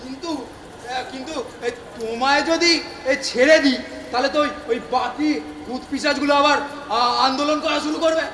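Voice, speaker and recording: A man acts an old god who rules hell in a stage comedy. He is placating and wily, and he hesitates and stammers in a very high voice. The recording is noisy and full of room echo. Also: fairly even intonation, moderate pace, fairly bright tone. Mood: grand, then worried. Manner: stammering, hesitant, repeats words.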